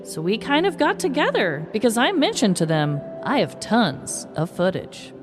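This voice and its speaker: A woman voicing a quoted, concerned female resident in a podcast story, earnest and animated. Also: on the high side, moderate pace, faint music behind, voice pushed up. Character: concerned female neighborhood resident quoted about nightly blasts.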